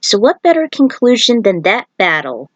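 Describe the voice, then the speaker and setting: A woman's voice: medium pitch, sing-song, normal speed, no room sound, highs cut off. A woman narrating as a fan fiction writer, with informal, chatty asides. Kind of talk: reading own story, then casual commentary.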